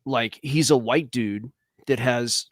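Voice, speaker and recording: A man's voice: mid-range voice, takes it slowly, no echo, studio quality. A man arguing bluntly on a podcast, skeptical and contrarian, swearing casually. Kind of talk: heated podcast debate.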